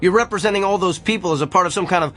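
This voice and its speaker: A man's voice: high voice, rapid-fire, bright, forceful tone, faint music behind. A man acting in a radio drama, playing an arrogant, self-assured and brusque doctor. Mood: defiant, dismissive. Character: brilliant, arrogant, tactless male doctor. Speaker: actor.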